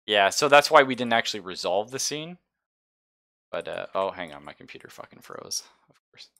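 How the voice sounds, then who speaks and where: Male game master, low-pitched with a bright, forceful edge, informal and sweary storytelling. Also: expressive, normal speed, vocal fry. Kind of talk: casual narration of a tabletop roleplaying game. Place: online game session, streamed.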